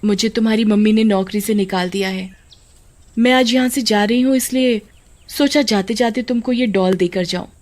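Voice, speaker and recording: A woman voicing a wronged housemaid, plaintive and quietly ominous, in a horror story. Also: medium pitch, moderate pace, natural voice. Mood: sad, tender. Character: wronged housemaid, soft-spoken, secretly vengeful and eerie.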